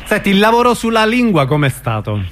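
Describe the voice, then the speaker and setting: Higher-pitched man hosting radio. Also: very animated, fairly quick, a bit bright. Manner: informal, familiar with the guest. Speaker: radio interviewer. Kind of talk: casual radio interview.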